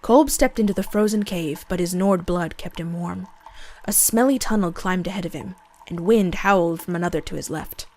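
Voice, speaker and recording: A woman's voice: lowish voice, normal speed, darkish tone. A woman reading scripted narration for a fantasy storybook. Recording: game music under the voice. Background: fantasy game music.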